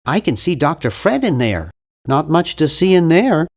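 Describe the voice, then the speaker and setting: A man's voice: fairly high pitch, very animated, moderate pace. A man playing an earnest, awkward, nerdy young cartoon hero in an adventure game, with a nasal, geeky, carefully worded delivery. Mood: matter-of-fact. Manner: geeky, over-articulate, earnest. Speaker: video game voice actor. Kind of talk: animated adventure game character lines.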